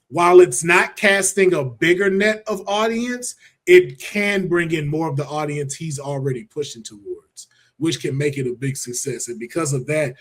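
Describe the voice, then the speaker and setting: Higher-voiced American man, slangy podcast panelist. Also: husky. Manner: colloquial, slangy, emphatic repetition. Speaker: podcast panelist judging picks. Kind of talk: casual opinionated panel chat.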